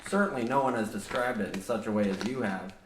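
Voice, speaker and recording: A man's voice: fairly low pitch, quick pace, natural voice. An actor playing a businesslike young mine surveyor, a polite, matter-of-fact straight man in a comedic radio play. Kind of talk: parody of old radio drama acting. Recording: roomy echo, faint noise.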